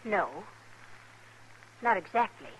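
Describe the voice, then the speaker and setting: An American actress playing an anxious, guarded young wife in an old radio western, speaking in a halting way. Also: female voice, mid-range voice, very animated, fairly bright tone, slight background noise, rough recording. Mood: distressed. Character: anxious, guarded young frontier wife hiding her past. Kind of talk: mid-century American radio western acting.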